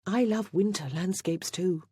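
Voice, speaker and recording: A woman's voice: lowish voice, unhurried, mellow, breathy edge, no echo, clean studio sound, voice pushed up. A woman voicing a candid, spirited heroine in a romance audiobook. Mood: delighted.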